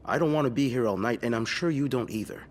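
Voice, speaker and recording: A man playing an impatient police detective in a video game, questioning a witness briskly. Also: medium pitch, long reverb tail, a little hiss or hum, natural voice. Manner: brisk, interrogating.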